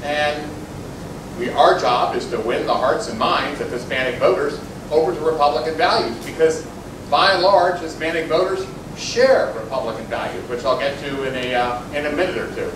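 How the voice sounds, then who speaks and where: A man's voice: on the high side, moderate pace. A man making a political speech to supporters, hesitant with fillers as he builds toward enthusiasm. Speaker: party official speaking to supporters. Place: room at a supporters' event. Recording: echoey room from far off, noisy.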